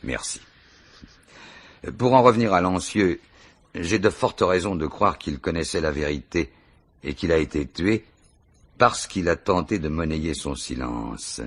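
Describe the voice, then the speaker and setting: An actor playing a dogged, unflappable police commissioner in a radio mystery, dry and gently ironic in his delivery. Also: sits low, animated, unhurried, long pauses, husky, exaggerated voice. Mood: firm, probing. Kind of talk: French radio mystery play acting.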